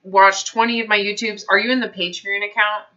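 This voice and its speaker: A woman's voice: medium pitch, flat delivery, brisk, pushed and bright. A woman health advisor leading a consultation on the phone, asking direct, probing questions. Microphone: phone held to mouth. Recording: dull lo-fi phone line.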